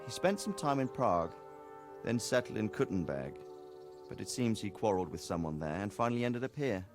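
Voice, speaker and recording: A middle-aged medieval nobleman for a cinematic game. He is easygoing and rueful, a reflective fatherly mentor whose pitch moves freely. Music plays behind his unhurried lines. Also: big pitch swings. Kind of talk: cinematic video game dialogue.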